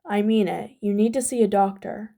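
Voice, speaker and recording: A woman playing a weary male teacher who talks gently but firmly. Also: medium pitch, moderate pace, gravelly, close to an everyday voice. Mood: gentle, concerned.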